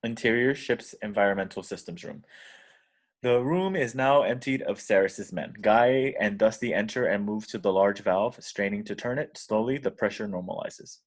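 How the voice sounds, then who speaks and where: A man's voice: on the low side, moderate pace. A man reading screenplay action descriptions as scripted narration at a table read. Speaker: stage directions reader.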